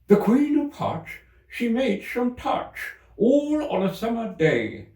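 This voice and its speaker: Shrill, proclaiming rabbit herald. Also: male voice, very animated, a little mellow, full character voice. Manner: proclaiming, hurried. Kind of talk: audiobook character voice. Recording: roomy, slightly distant microphone.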